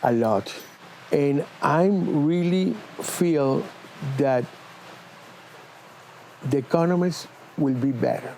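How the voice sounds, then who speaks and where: A man with a Spanish accent, a shop owner from Cuba and Venezuela, answering interview questions in earnest, halting English with non-native grammar, recorded in an auto repair shop. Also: a little high, warm tone, slight background noise, rough recording. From born in Cuba, lived in Venezuela.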